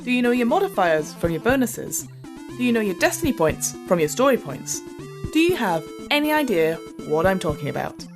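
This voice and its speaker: Animated woman reading a promo over music. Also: mid-range voice, fairly quick. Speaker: podcast promo announcer. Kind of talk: scripted promotional read.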